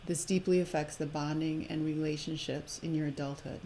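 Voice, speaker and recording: A woman's voice: deep voice, fairly even intonation, brisk, mellow, poor audio. A woman hosting a live spiritual presentation, moving between informal asides and reading aloud. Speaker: host of a live spiritual oracle card presentation. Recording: noticeable background noise, live stream.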